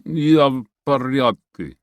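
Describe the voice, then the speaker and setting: A man voicing a tough, proud Russian soldier who speaks slow, clipped, broken English in a Russian accent. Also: mid-range voice, dry recording, voice pushed up, close to an everyday voice. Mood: groggy.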